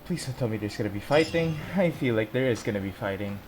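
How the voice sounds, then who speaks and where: A man's voice: on the low side, lively intonation, fast. A man reacting casually to a video game as he plays, in the manner of a streamer. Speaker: gaming streamer. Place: indoors, talking over game audio. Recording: clear game noise behind voice.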